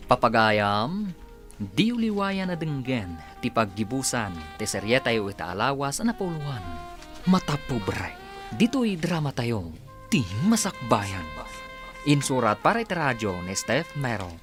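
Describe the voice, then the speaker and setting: A man's voice: medium pitch, very animated, a little slow. A man with an Ilocano accent doing scripted, dramatic announcing for a radio drama. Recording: music under the voice.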